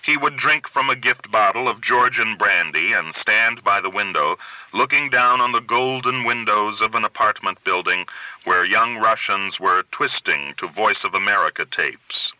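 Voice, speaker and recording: Very bright-voiced male audiobook narrator. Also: on the low side, moderate pace, no room sound, rough recording. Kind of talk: literary fiction reading, scripted narration.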